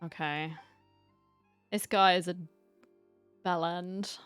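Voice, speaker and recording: A woman's voice: fairly low pitch, steady tone, slow pace, fairly bright tone, smooth, no echo, soft background music. Woman streamer, close dry sound. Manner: chatty, irreverent. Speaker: game streamer. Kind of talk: casual playthrough commentary.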